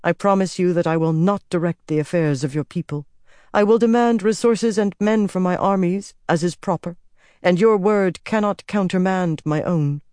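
A man reading aloud, voicing a cold, commanding young empress who speaks in measured, haughty sentences. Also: high voice, a little mellow, no echo, studio quality, pitched above the performer's own voice, close to an everyday voice.

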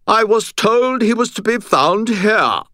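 An obnoxiously snooty British wizard lawman from a fantasy audiobook. He talks down to everyone in a high, drawn-out, swooping drawl, pompous to the last word. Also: animated, pushed and bright, dry recording, clean studio sound, heavily acted. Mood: haughty, condescending. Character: haughty young man posing as imperious aristocratic wizard lawman.

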